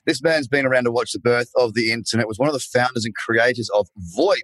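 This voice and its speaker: A man's voice: mid-range voice, quick pace, fairly bright tone, no echo. A man hosting an interview show, talking in a friendly, loose way. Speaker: podcast host. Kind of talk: casual interview chat.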